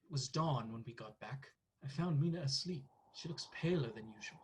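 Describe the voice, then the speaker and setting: An actor playing an earnest, anxious husband in a radio play, with a clipped, dramatic delivery. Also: male voice, mid-range voice, lively intonation, fairly quick, a little hiss or hum, lightly acted. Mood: sober.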